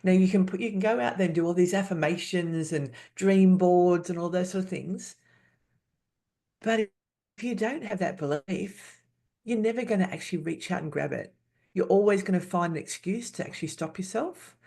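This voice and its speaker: A woman in her fifties, a podcast guest, speaking candidly and haltingly, restarting words and laughing often. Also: lowish voice, vocal fry, mellow. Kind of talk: candid personal interview.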